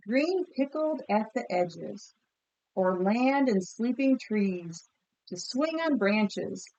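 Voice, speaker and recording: A woman teacher reading a picture book aloud expressively and pausing to ask listeners questions. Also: a little high, highs cut off. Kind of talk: storybook read-aloud with comprehension questions.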